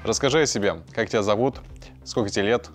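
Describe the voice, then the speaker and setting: A man's voice: fairly low pitch, fast talker, soft background music. A man hosting a vlog, chatting casually as he tours a rented house and interviews his team. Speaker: vlog host and team owner. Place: large rented house indoors.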